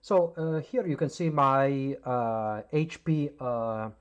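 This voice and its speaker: A man's voice: mid-range voice, slow pace, highs cut off. A man from Italy with an Italian accent, explaining radio gear at his home workbench in a hesitant way, full of filler sounds. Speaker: hobbyist channel host. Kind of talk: casual explanatory demo.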